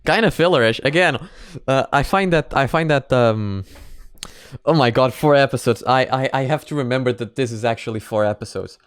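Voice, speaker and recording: A man's voice: mid-range voice, normal speed, clean tone, no room sound. A man giving casual, off-the-cuff reaction commentary, rambling and hesitant as he thinks aloud. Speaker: host of a reaction video.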